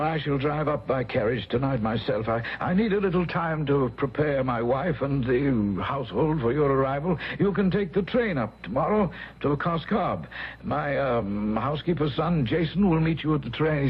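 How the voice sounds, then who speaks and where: Nervous rich gentleman in old radio drama, very husky voice, halting and formal, muffled recording. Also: mid-range voice, animated. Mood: flustered, businesslike. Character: hesitant, evasive, well-to-do married gentleman employer.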